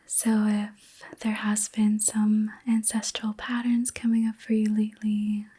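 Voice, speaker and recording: A woman hosting an ASMR reiki session in a soft, breathy whisper, gentle and soothing, with unhurried pauses. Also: medium pitch, completely flat delivery. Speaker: ASMR host and reiki practitioner.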